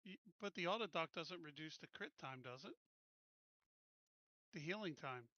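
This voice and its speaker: A man's voice: on the high side, animated, moderate pace, bright, forceful tone, no echo, dull audio. A man talking casually as a player in a tabletop roleplaying game. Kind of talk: casual chat.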